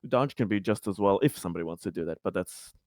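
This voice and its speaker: A man's voice: sits low, quick pace, a little mellow, no room sound, studio quality. A man chatting casually as a player in a tabletop roleplaying game, wordy and jokey, swearing freely.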